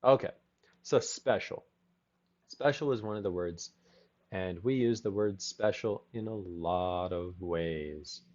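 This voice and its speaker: A man teaching English in a casual conversational lesson from home, encouraging and laughing often, explaining words slowly. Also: deep voice, a little mellow. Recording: slightly dull lo-fi call audio.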